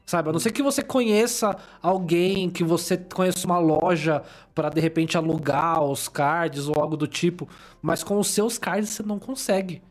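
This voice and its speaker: A man hosting a casual podcast chat in a relaxed, chatty way. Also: on the high side, moderate pace, hardly any pauses. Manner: relaxed, chatty, uses "tipo" and "sabe".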